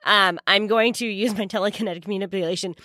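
A woman with a lisp playing a tabletop game, chatting casually in slangy, sweary, expressive language. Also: medium pitch, quick pace, dry recording, clean studio sound. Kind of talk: casual, joking game chat.

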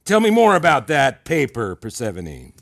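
A man's voice: mid-range voice, wildly swooping pitch, moderate pace, vocal fry, a bit bright, no echo, clean studio sound, heavily acted. An actor playing a courteous, admiring male professor with a formal, gracious way of speaking, in a fiction podcast. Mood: admiring, measured.

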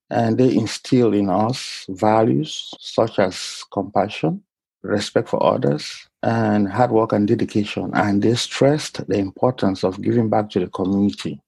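Soft-spoken Cameroonian man, husky voice. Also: lowish voice, no room sound. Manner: polite, unhurried storytelling. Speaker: guest, clinical lab scientist. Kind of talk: casual interview.

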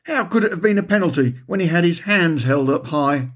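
Guy doing a argumentative footy captain for an audiobook, higher voice, indignant and disbelieving. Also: animated, a little fast. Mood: indignant protest. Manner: protesting, incredulous. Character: argumentative, indignant male amateur football captain.

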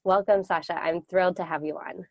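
A woman's voice: fairly low pitch, steady tone, brisk, vocal fry, no room sound, dull audio. Upbeat woman podcast host. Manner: upbeat, polished. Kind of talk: podcast hosting and interviewing.

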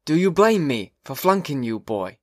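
A man voicing an old, sarcastic male history teacher who lectures slowly and pointedly. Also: fairly high pitch, sing-song, no room sound, studio quality, pitched above the performer's own voice.

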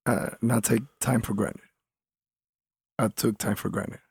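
Guy in his 30s from colombia, super gravelly husky voice, chill rambling fighter talk. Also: on the low side, fairly even intonation, a little slow, a little mellow, dry recording, clean studio sound. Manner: laid-back, rambling with many "like"s. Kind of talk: casual interview talk.

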